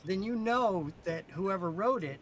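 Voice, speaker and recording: A man's voice: animated, measured pace. A man chatting casually as a podcast co-host. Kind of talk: casual chat. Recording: noisy mic with low rumble.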